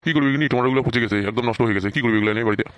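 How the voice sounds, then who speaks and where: Performer doing a poor Bengali dad, short-tempered, grumbling, very quick speech, dry close sound. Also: medium pitch, clean tone, no room sound, lightly acted. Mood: dejected. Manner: scolding, grumbling. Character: poor, hot-tempered father, a struggling vegetable seller. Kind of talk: comic cartoon dubbing.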